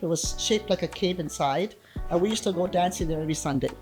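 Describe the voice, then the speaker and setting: A woman's voice: on the low side, quick pace, a little mellow, soft background music. A woman from Italy, chatting about old times in an interview. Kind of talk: interview recollection.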